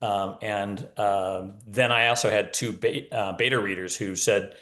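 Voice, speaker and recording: A man's voice: fairly low pitch, fairly even intonation, measured pace, a bit bright. A man speaking as an author guest in a casual interview, explaining his craft in a talkative, wry manner.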